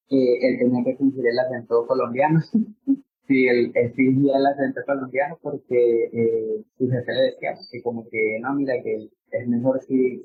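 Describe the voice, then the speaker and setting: A man from Venezuela with a Venezuelan accent, casually telling a personal story over a tinny, phone-like recording. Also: mid-range voice. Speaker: interviewee. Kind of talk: personal testimony.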